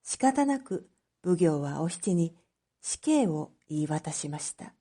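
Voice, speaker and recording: A woman narrating a scripted story as a teacher for language learners, reading carefully in clear phrases. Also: sits low, very animated, takes it slowly, warm tone. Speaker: teacher narrating read-aloud material.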